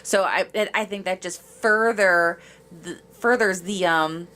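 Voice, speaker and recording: Female co-host, medium pitch, loose conversational delivery, blunt and meandering. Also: measured pace, bright, forceful tone. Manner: informal, rambling, blunt. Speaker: podcast co-host. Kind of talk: casual chat.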